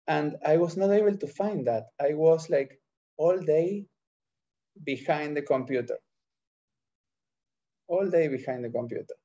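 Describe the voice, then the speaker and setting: A man's voice: a little high, dark tone. Argentine man on a dull video call. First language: Spanish. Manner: halting, searching for words. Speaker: entrepreneur, podcast guest. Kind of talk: interview answers. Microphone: video call microphone.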